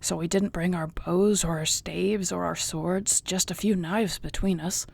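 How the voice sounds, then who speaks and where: A woman playing an affectionate orc warrior girlfriend in an intimate audio roleplay, speaking softly and tenderly with a husky voice. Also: lowish voice, steady tone, full character voice. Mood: calm, reassuring. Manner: soft, tender, unhurried, often near a whisper.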